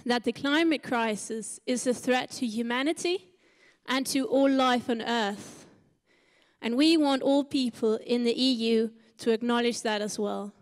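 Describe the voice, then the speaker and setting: A woman who grew up in Uganda giving a prepared press conference speech in earnest, measured short phrases. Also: fairly high pitch. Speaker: climate initiative spokeswoman. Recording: echoey hall with long reverb.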